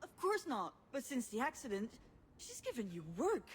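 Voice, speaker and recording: Blunt, headstrong sister, noisy background. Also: female voice, high-pitched, sing-song, normal speed. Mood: angry, accusing. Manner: blunt, insistent. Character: headstrong, combative adult woman, fiercely loyal sister. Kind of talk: naturalistic video game drama acting.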